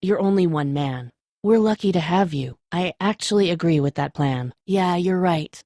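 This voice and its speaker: A woman's voice: on the low side, normal speed, mellow, no echo, clean studio sound, lightly acted. An actress playing a tough, stressed, protective woman who leads zombie-apocalypse survivors in a dramatic video game, speaking bluntly and with urgency. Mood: grateful, sincere.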